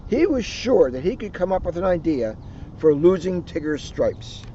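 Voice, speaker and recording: A man's voice: high-pitched, very animated, moderate pace, dark tone. A man with an American accent, a police officer reading a children's storybook aloud and chatting informally outdoors. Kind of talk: storybook read-aloud and informal community talk. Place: outdoors by a street. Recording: noisy, slightly muffled outdoor recording.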